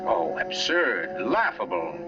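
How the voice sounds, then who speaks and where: A low male voice narrates a vintage suspense play. The delivery is measured, dramatic and brooding. The old radio sound is dull, with music clearly underneath. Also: wildly swooping pitch, heavily acted. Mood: uneasy. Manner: dramatic, measured, deliberate storytelling.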